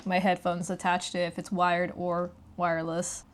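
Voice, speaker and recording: A woman's voice: fairly low pitch, completely flat delivery, moderate pace, clean tone. A woman giving a casual, conversational hands-on gadget review, explaining things step by step. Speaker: product reviewer.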